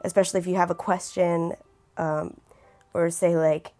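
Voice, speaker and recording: An eighteen-year-old woman with a raspy voice who keeps clearing her throat, speaking earnestly and reflectively in a documentary interview. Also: lowish voice, little pitch movement, moderate pace, warm tone, soft background music. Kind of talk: personal documentary testimony.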